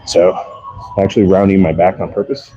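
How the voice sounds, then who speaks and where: Guy in his 40s streaming his workout, low mellow voice, offhand, bit muffled. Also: flat delivery, brisk, a little hiss or hum. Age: early 40s. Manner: offhand, explains exercises as he goes. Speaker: fitness live streamer. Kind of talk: casual workout commentary to live viewers. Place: workout space.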